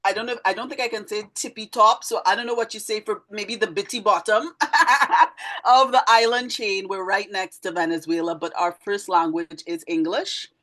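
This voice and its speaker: A woman's voice: mid-range voice, normal speed, fairly bright tone. A woman from Trinidad with a Trinidadian accent, answering interview questions in an articulate, explanatory way and correcting herself as she goes. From Trinidad and Tobago. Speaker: interview guest, teacher and poet.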